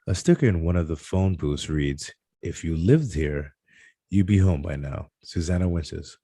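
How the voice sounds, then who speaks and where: A man reads out screenplay stage directions as narrator. His voice is deep and mellow, with a dry, close sound. The delivery is halting, tripping on the odd word. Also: lively intonation, husky, no echo. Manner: halting read-aloud with stumbles over words. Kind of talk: scripted narration at a table read.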